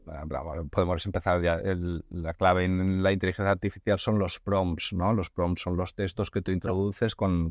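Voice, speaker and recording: A man's voice: low-pitched, no room sound, studio quality. A man hosting a podcast interview, thinking aloud with frequent restarts and repeated words. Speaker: podcast host. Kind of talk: conversational interview.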